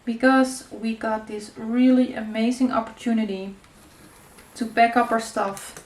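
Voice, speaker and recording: A woman's voice: on the high side, level tone, a little slow, a little mellow. A woman in her thirties with a Dutch accent, whose first language is Dutch, chatting casually while she works through a paper craft tutorial. She talks in a loose, friendly way, with many fillers, and now and then she stops to search for a word. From Netherlands. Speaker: crafting channel host. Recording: echoey room with faint noise.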